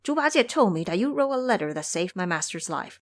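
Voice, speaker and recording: A woman's voice: mid-range voice, big pitch swings, brisk, close to an everyday voice. A woman voicing a cocky, teasing male monkey king in an audio drama, boastful, preachy and theatrical in manner. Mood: reassuring.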